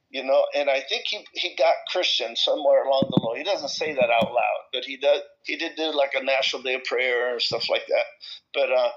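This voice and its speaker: A man with a bright, forceful voice at a middling pitch talks casually and without a script. He rambles, repeats words and drops sentences halfway before picking up again. The audio is a little dull and lo-fi. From El Salvador. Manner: rambling, halting, repeats words and breaks off mid-thought. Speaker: discussion participant. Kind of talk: casual, impromptu personal talk in a group discussion.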